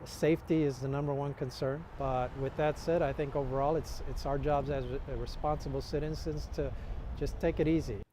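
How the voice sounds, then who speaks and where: A man giving a measured statement in an interview, recorded outdoors. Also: fairly high pitch, fairly even intonation. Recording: faint outdoor traffic noise.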